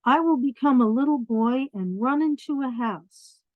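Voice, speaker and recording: A woman's voice: sits high, normal speed, dry recording, phone-quality sound, pitched above the performer's own voice, lightly acted. A woman voicing a playful, determined little bunny in a children's picture book read-aloud, in simple declarative phrases.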